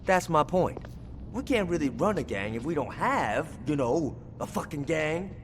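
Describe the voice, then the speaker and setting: An actor playing a cocky, foul-mouthed gang lieutenant in a video game cutscene, talking with profane wisecracks. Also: male voice, fairly high pitch, sing-song, normal speed, slight background noise. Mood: scheming. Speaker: game character.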